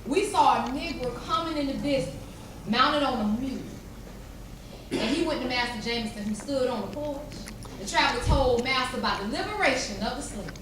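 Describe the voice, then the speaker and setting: Readers theater: actress as formerly enslaved woman, high-pitched, dramatic narrator of her own past, distant in heavy echo. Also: brisk, lightly acted. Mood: solemn recounting. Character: formerly enslaved woman Mary recounting emancipation. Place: large echoey chapel during a live performance. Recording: cavernous echo, distant mic, noisy.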